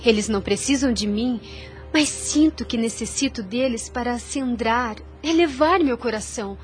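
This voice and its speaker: A woman's voice: on the high side, normal speed, close to an everyday voice. A woman playing a devout, self-sacrificing young woman in a radio soap opera, with earnest, formal, literary delivery. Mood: resolute, pious. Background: music under the voice.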